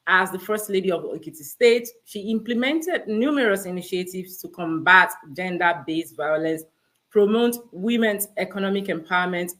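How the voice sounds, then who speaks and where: A woman's voice: lowish voice, fairly bright tone. A woman hosting a live online interview, chatty and polite, with plenty of "you know" and "um" fillers. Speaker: host.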